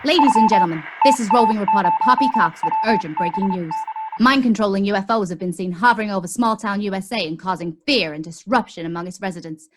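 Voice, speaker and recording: A woman's voice: mid-range voice, fast, heavy background noise, natural voice. A woman playing a dramatic roving reporter giving a declamatory news bulletin in a spoof radio play. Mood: urgent. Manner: declamatory broadcast delivery.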